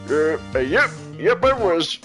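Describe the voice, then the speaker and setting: A man voicing a forgetful, doddering old boat shop keeper in a video game, halting and vague. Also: a little high, big pitch swings, over music. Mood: befuddled. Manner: halting, vague, mumbling with many ums.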